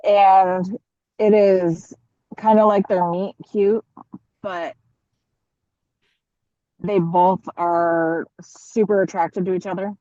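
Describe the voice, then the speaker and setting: A woman from the United States giving casual interview answers as a guest author, halting as she thinks aloud. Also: mid-range voice, level tone, mellow, no echo, dull audio.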